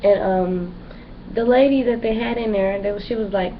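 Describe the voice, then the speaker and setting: A woman's voice: medium pitch, normal speed. A young woman giving a casual, rambling pregnancy update in a vlog, with lots of fillers. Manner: rambling and chatty, many fillers. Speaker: pregnant vlogger. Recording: dull lo-fi home recording with background noise.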